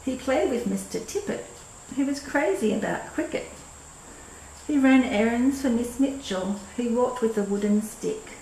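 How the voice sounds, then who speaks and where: An older woman narrates a picture book for children in a measured, expressive read-aloud. Her voice sits in a roomy, echoing space with some faint noise behind it. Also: fairly high pitch. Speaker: storyteller reading a picture book. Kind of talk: scripted storybook narration for children. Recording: roomy echo with clear background noise.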